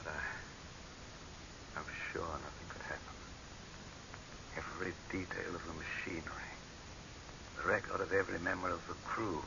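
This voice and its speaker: An actor playing a pompous, tyrannical dictator in 1930s radio horror drama style, haughty and imperious. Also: male voice, sits low, fairly even intonation, unhurried, gravelly, long pauses. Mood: shaken, pleading. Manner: imperious, haughty, barking orders. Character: pompous tyrannical male dictator, commanding, scornful, cracking into panic. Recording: hissy, dull 1930s radio recording.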